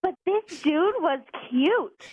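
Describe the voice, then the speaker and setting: A woman's voice: very high-pitched, expressive, slow, a little mellow. A woman calling in by phone, gushing and peppering her talk with "like" and "literally.". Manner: gushing, says "like" and "literally" a lot. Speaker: caller. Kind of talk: excited phone call. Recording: muffled phone line.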